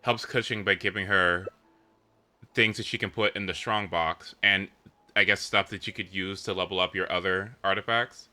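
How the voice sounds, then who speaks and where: A man chatting casually as he streams a video game, often leaping into high, squeaky exclamations. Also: steady tone, normal speed, bright, forceful tone. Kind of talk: casual gaming stream chat.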